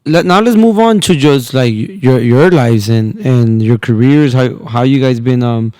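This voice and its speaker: A man's voice: mid-range voice, measured pace, a little mellow, no room sound. A man in his twenties hosting a podcast, chatting casually and informally with slangy questions. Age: 20s. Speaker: podcast host. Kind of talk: casual interview chat.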